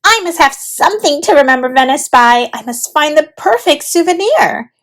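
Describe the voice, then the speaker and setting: Woman putting on a high, dramatic little storybook piglet girl. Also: lively intonation, moderate pace. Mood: excited. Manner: pleading, theatrical.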